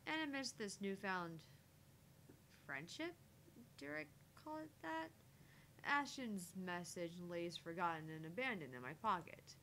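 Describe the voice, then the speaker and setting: A woman's voice: mid-range voice, lively intonation, measured pace, pushed and bright, slight background noise, poor audio. A woman streamer reading a visual novel aloud in first-person narration with casual asides.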